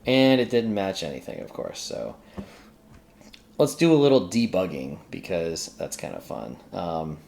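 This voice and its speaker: A man's voice: low voice, big pitch swings, a little slow, gravelly, a little mellow. A man hosting a casual coding tutorial, talking informally and hesitantly as he thinks aloud while working. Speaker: host of a programming tutorial. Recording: noticeable background noise, keyboard typing.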